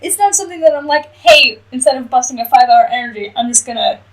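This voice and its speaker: A woman's voice: high-pitched, lively intonation, fast talker, far from the mic, slight background noise, low-quality recording. A woman co-hosting a podcast with casual, joking banter, recorded slightly away from the mic. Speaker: podcast co-host.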